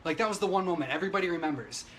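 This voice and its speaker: A man's voice: high voice, very fast, fairly bright tone. A man ranting unscripted on a livestream, brash, opinionated and emphatic, swearing freely as he talks to his viewers. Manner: emphatic, agitated delivery. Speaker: livestreamer giving political commentary. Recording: noisy livestream audio.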